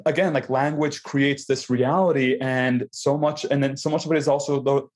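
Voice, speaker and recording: A man's voice: medium pitch, steady tone, moderate pace, a little mellow. A young man from Mexico, a podcast guest talking reflectively in a casual conversation. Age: young adult. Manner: reflective, many restarts and fillers. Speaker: guest, food company founder.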